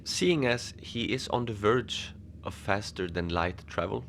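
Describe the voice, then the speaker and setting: A man playing a lonely, gentle Antarctic facility manager, rambling hesitantly and apologetically in a cozy fiction podcast monologue. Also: lowish voice, unhurried, slight background noise. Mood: wistful, friendly. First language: Norwegian. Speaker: podcast narrator.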